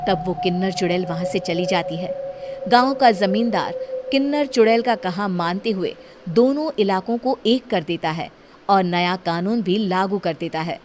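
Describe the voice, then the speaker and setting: A woman's voice: mid-range voice, moderate pace, background noise. A woman reading scripted story narration as the narrator.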